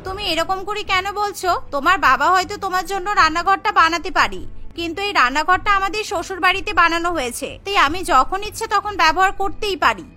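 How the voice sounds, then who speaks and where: A woman's voice: very high-pitched, sharp tone. A woman voicing a proud, jealous young daughter-in-law in a cartoon story.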